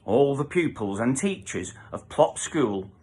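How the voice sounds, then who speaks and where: A man's voice: medium pitch, animated, measured pace, warm tone, breathy edge. A man reading a children's story aloud as a theatrical narrator, with emphatic sound-effect words. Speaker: storybook reader.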